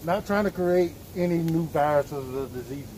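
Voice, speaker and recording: Middle-aged guy talking thru coop cleaning outside, kinda high voice, lots of background noise. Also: normal speed. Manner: relaxed, talks while raking out a coop. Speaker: homesteader vlogger. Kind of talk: casual how-to chat while working. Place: outdoors at a chicken coop. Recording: noisy outdoor camera audio.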